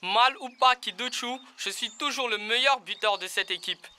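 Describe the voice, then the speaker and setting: Un garçon têtu, voix vive et claire. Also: female voice, fairly high pitch, normal speed, bright, forceful tone. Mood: defiant. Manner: insistent, boastful. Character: stubborn, proud young boy footballer, sore loser. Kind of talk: children's cartoon dub.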